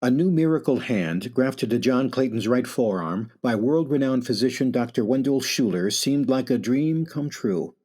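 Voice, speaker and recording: An actor in a radio play playing an announcer, delivering a scripted news report dramatically. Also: male voice, medium pitch, animated, normal speed, few pauses, a little mellow, slightly rough. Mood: solemn.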